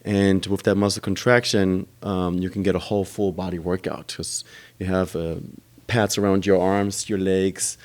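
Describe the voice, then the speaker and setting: A man talks in a very low voice as a podcast guest. He explains how his gym works at length, pausing often with ums and uhs as he goes. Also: level tone, a little mellow. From Germany. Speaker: gym owner and trainer. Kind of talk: podcast interview answers.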